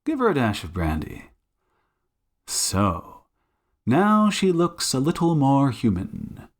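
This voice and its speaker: A suave, commanding detective, acted by a man, speaks slowly with wide, theatrical swings in pitch. He is shrewd and politely condescending, with a mocking edge, as in a dramatized audiobook. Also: huge pitch swings, heavily acted. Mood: scornful. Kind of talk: audiobook character acting.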